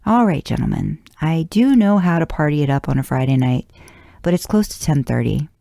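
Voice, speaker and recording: A woman voicing a guarded, self-deprecating woman with dry humour in an audio story, brisk and deflecting. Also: sits low, expressive, vocal fry, dark tone, no room sound. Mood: wry, deflecting.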